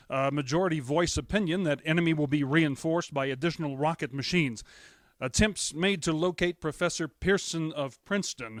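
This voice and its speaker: A man's voice: fairly high pitch, fairly bright tone, no room sound, clean studio sound, close to an everyday voice. A man playing a radio announcer reading urgent bulletins in an amateur radio drama, hesitating and stumbling over his words.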